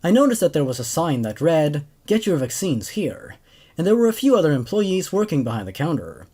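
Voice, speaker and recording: A man in his twenties with an expressive, emphatic way of talking, hosting horror stories. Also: fairly high pitch, fast talker. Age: 20s. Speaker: host reading horror stories. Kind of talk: dramatic story narration, then casual commentary.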